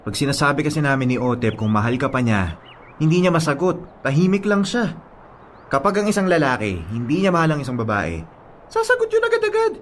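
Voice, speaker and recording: High, swooping, chummy Filipino buddy. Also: male voice, fairly quick, slight background noise. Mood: explaining. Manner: animated, conversational, coaxing. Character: young male drinking buddy, chummy, coaxing go-between. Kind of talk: Filipino radio drama acting.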